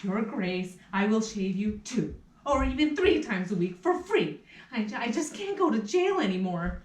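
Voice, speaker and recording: A woman's voice: medium pitch, sing-song, moderate pace, recorded from a distance, lightly acted. A woman voicing a meek, flustered male barber for a puppet play, hesitant and apologetic, in a roomy rehearsal recording. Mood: nervous, pleading. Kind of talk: puppet theatre acting.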